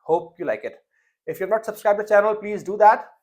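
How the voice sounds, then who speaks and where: A man's voice: high-pitched, a little fast, darkish tone. A young man hosting a casual interview podcast, talking with frequent fillers and restarts. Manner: hesitant, many fillers and restarts. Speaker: podcast host.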